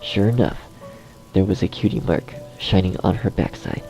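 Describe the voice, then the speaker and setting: A man narrates a horror story from a script. His voice is low and dark, with a gravelly fry in it. Quiet music plays behind him. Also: normal speed, rough recording.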